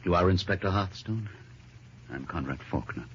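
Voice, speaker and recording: An actor playing a smooth, defensive middle-aged merchant husband with wounded dignity, speaking in formal, measured radio-drama style with a husky voice. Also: male voice, low-pitched, animated, noisy background. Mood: grieving, formal. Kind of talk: old-time radio detective drama acting. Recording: dull lo-fi vintage radio recording.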